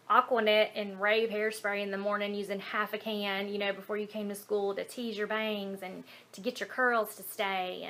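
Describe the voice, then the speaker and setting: A woman's voice: medium pitch, a bit bright. A woman from Alabama with a Southern accent chats casually to camera as a beauty vlogger, informal and rambling, poking a little fun at herself. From Alabama, United States.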